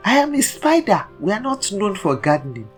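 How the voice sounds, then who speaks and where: A woman's voice: medium pitch, very animated, normal speed, soft background music, voice pushed up, close to an everyday voice. A woman voicing a mischievous male spider trickster from a folktale, grudging and wry, in a dramatic, muttering manner. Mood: indignant.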